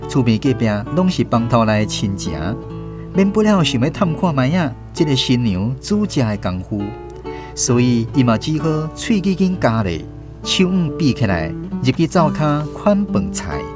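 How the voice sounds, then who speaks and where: A man with a Taiwanese accent narrates a radio drama with a very lively, rising and falling delivery. His voice sits at a middle pitch, over clear plucked guitar music. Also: moderate pace. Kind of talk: scripted storytelling narration.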